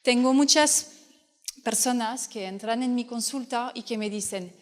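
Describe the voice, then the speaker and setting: A woman's voice: a little high, a little slow. French lady coach talking at a fair, earnest, keeps restarting, long hall echo. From France. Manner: earnest, explanatory, with frequent restarts. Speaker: fertility coach giving a talk. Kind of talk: conference talk. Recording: hall reverb.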